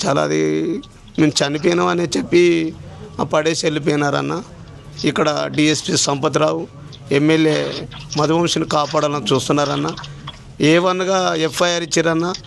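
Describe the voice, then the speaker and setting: Gravelly, flat-toned Telangana man giving an account. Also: fairly high pitch, moderate pace, pauses often, slight background noise. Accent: Telangana Telugu. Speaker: journalist recounting an assault on him. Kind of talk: earnest spoken account to a listener on the phone.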